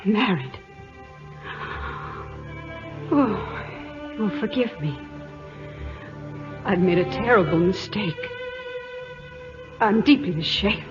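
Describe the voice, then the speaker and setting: A woman's voice: medium pitch, animated, slow, long pauses. A woman playing a gracious, candid, romantic English noblewoman with a refined manner in an old-time radio drama. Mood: hurt, ashamed. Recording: muffled old radio broadcast with music.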